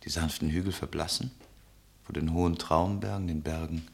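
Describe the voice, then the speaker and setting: An actor playing a tender traveller who tells lyrical, solemn stories in a literary radio play. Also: male voice, very deep voice, steady tone, normal speed, vocal fry. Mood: dreamy, hushed. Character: male traveller, tender poetic storyteller.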